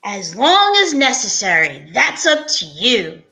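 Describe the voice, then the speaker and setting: A woman playing a formal, self-important male store vice president in an old-time radio drama, with stiff, halting phrasing. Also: medium pitch, huge pitch swings, bright, forceful tone, exaggerated voice, poor audio. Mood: confidential, insistent.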